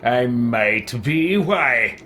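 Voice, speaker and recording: A man voicing a haughty, scornful, power-hungry mage in an imperious, drawn-out manner while roleplaying a video game. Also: mid-range voice, animated, noisy background. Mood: grudging.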